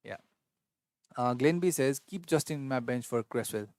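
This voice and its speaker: A man's voice: medium pitch, moderate pace, darkish tone, dry recording. Male streamer, Newar, hesitant and thinking aloud, dry booth-like sound. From Nepal. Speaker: fantasy football livestream host. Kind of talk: casual live Q&A reading chat.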